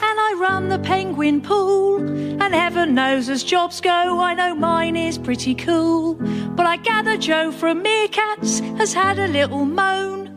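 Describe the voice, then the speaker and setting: An actress playing an exasperated, defensive zookeeper, singing a comic musical number for a radio sketch show. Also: female voice, very high-pitched. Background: loud musical accompaniment.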